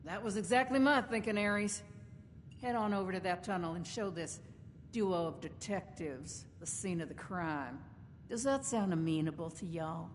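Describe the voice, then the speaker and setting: An actress playing a proud, shrewd caravan company boss in a video game, with a folksy, sardonic, wordy way of talking. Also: female voice, lowish voice, normal speed, in a large hall, slight background noise, natural voice. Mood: businesslike. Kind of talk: video game character dialogue.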